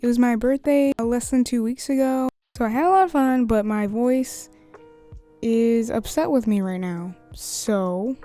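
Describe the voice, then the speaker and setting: Slightly hoarse, chatty young woman. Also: on the high side, measured pace, mellow, faint music behind. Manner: chatty, rambling, laughs often. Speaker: art YouTuber. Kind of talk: casual voice-over while drawing. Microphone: USB studio microphone.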